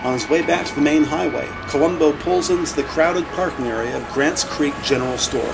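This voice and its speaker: A man narrates an audio drama in a measured, descriptive manner. His voice sits a little high and carries a marked creak. Music plays behind him. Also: low-quality recording.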